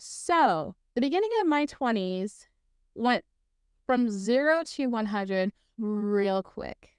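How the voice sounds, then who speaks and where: A woman around thirty tells stories on her own podcast in a relaxed, rambling way, dropping "like" and "um" and laughing easily. Her voice is smooth and sits a little high. The sound is dry and close, with no room around it. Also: slow pace, dry recording. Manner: chatty, fills speech with "like" and "um"; laughs easily while talking. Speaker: podcast host. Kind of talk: casual solo storytelling.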